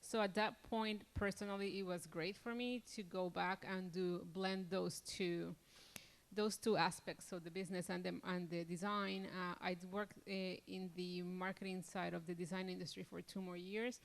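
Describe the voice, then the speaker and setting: A woman's voice: lowish voice, little pitch movement, moderate pace, creaky. A middle-aged woman from Colombia, answering interview questions in a rambling way, with many restarts and fillers. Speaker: entrepreneur interview guest.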